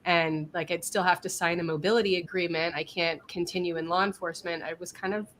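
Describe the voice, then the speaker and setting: A woman's voice: on the low side, steady tone, fast talker, a bit bright. Guest: woman, slightly scratchy from a cold, chatty, faint noise bed. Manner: chatty, laughing, many fillers and restarts. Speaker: guest, business coach. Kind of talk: casual live-stream interview.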